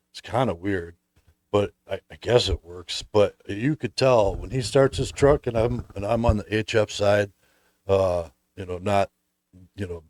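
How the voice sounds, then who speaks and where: A middle-aged man hosting a casual conversation, talking in a halting way as he thinks aloud. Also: on the low side, a little mellow, no echo. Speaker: host.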